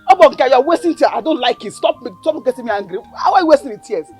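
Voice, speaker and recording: A woman's voice: sits high, expressive, very fast, slightly rough, faint music behind. An actress playing a scornful older sister who bullies and accuses her sibling. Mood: scolding. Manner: taunting, shouting insults.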